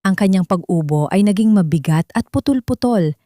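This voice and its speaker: A woman's voice: medium pitch, expressive, normal speed, dark tone, no echo. Dry-recorded woman narrating drama. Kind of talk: dramatic scripted story narration.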